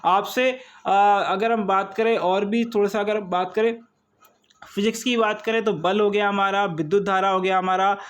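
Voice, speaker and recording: A high-voiced man works through an informal exam coaching lesson. He explains step by step, often repeating himself and posing small questions that he answers himself. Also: fairly even intonation, moderate pace, clean tone. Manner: repetitive explaining, checking with short tags and rhetorical questions.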